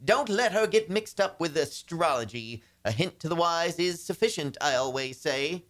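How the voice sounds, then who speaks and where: A man's voice: fairly high pitch, expressive, normal speed, fairly bright tone. An actor playing a flustered, henpecked married businessman in a radio comedy, pleading in a melodramatic, agitated way. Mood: urgent pleading. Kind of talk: old-time radio comedy acting.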